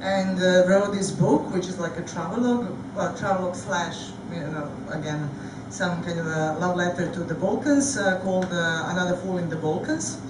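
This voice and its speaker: A woman's voice: lowish voice, moderate pace, hardly any pauses, far from the mic. Panel moderator woman, lots of uhs, echoey room with background noise. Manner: hesitant, many fillers. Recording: echoey hall recorded from afar.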